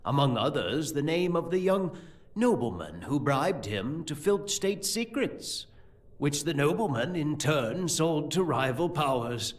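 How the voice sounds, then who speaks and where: A sly, condescending court priest in a fantasy radio play. His voice sits a little high and swings widely in pitch, and his measured delivery is sardonic and coolly menacing. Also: big pitch swings. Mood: scornful. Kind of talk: audio drama acting.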